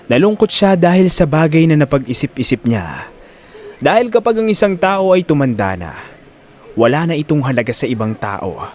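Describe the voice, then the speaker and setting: A man's voice: on the high side, darkish tone. A man narrating a scripted audio drama in a dramatic storytelling style.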